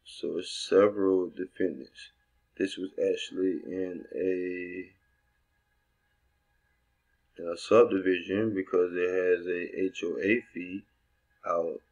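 Very low-voiced man explaining onscreen steps. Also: fairly even intonation, measured pace, darkish tone. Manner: loose and conversational, thinking aloud with restarts. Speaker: real estate investor training assistants. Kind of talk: screen-recorded tutorial walkthrough.

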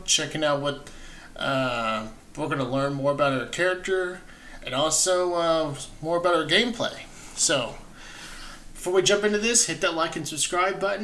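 A young adult man hosting a gaming reaction, talking casually and enthusiastically in halting phrases, close to the mic. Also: a little high, a bit bright. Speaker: gaming channel host. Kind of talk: reaction commentary. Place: small indoor streaming room. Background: trailer music at times.

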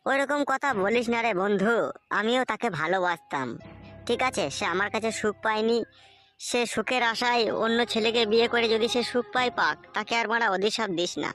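A man's voice: high-pitched, moderate pace. A man voicing a crude, self-pitying jilted village husband in an adult comedy cartoon, with long-winded, plaintive lamenting. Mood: mournful, forgiving. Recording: loud music under the voice.

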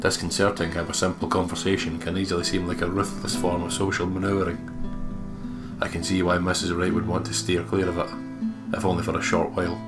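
Deep male voice, let's play host, narration and casual commentary, music underneath. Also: completely flat delivery, a little fast. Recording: music behind the voice.

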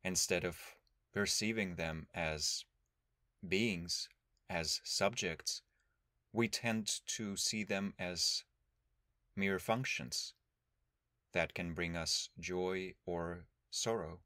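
A man leading a guided meditation as a teacher, speaking in a calm, measured, instructional way with long pauses. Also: sits low, dry recording, clean studio sound.

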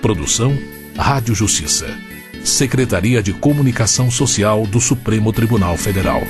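A man's voice: fairly low pitch, lively intonation, normal speed. A man with a Brazilian accent reading scripted announcements for a radio programme. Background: music.

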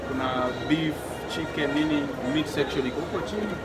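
A man's voice: on the high side, fairly quick. A man acting as a local guide, casually listing what is sold in a market and mixing in English words. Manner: switches between Swahili and English, listing items. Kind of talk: casual chat. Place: busy indoor market. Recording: noisy market crowd with loud music. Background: crowd chatter and music.

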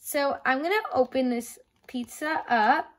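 High-voiced young woman reviewing toys. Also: a little slow. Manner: enthusiastic, simple explaining with frequent "like" and "I love". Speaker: doll toy reviewer. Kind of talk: casual toy review vlog. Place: small room at home.

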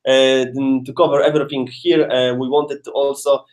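A man's voice: mid-range voice, little pitch movement, moderate pace, darkish tone. A man presenting a product in a webinar over a video call, speaking as one of the presenters.

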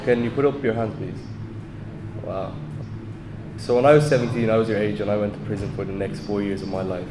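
A man's voice: fairly low pitch, steady tone, brisk, noisy background. A man speaking directly to a room of students. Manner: direct, addressing a crowd. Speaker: guest speaker. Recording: echoey room from far off.

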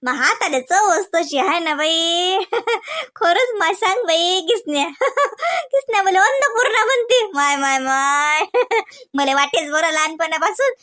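A woman's voice: very high voice, expressive, a little slow, pushed and bright, exaggerated voice. A woman voicing a gullible, doting village mother in a comedy cartoon, gushing and exclamatory, with a Varhadi Marathi accent. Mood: flattered, delighted. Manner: gushing, exclamatory, repeats words.

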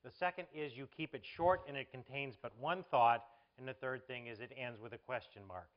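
A man's voice: mid-range voice, fairly even intonation, a little fast, dull audio. A man moderating a forum, giving an audience orderly spoken instructions. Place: indoor forum stage before an audience.

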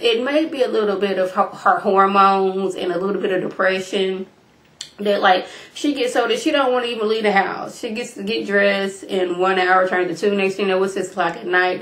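A young woman, slightly hoarse and congested from being sick, chatting casually to her webcam as she recaps a reality show, calling her viewers y'all. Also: medium pitch, fairly even intonation, moderate pace, a bit bright, reverberant, rough recording. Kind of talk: casual recap talk to camera. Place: small room at home, indoors. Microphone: webcam at desk distance.

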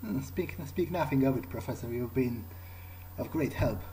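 A man playing a video game and speaking in character, in a halting way that repeats words. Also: mid-range voice, lively intonation, warm tone, slight background noise, poor audio. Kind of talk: in-character roleplay game commentary. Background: game music.

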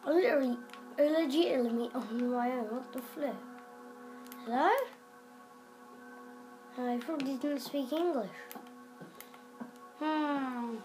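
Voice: high-pitched, takes it slowly, pauses often, a little mellow, soft background music, rough recording. A child improvising role-play commentary while playing a video game, hesitant and coaxing, recorded in a living room in front of a TV. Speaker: player making a game video. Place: living room, filming a TV screen.

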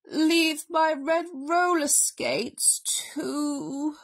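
A woman voicing a big, grumpy male bear in a children's storybook, raspy, wheezy and theatrically mournful about being ill. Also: sits high, very slow, pitched above the performer's own voice. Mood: weakly pompous. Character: big gruff sick bear, male, grumpy, self-pitying, dramatic. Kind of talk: children's storybook read-aloud.

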